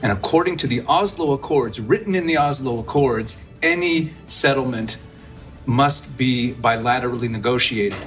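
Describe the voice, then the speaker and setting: A man joining an interview to add a measured, precise argument. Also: mid-range voice, fairly bright tone, soft background music, rough recording. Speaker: interview panelist. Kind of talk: interview commentary.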